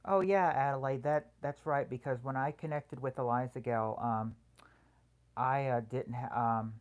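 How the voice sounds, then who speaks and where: A soothing voice at a middle pitch, belonging to a livestream host who chats casually with viewers. The speech is halting and hesitant. Phrases restart often as the host answers comments one by one. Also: fairly even intonation, dull audio.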